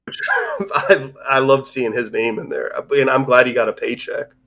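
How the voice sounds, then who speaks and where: A man's voice: mid-range voice, level tone, normal speed, gravelly, sharp tone. A man hosting a livestream, discussing films in a casual, rambling, informal way. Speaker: host of a livestream discussion show.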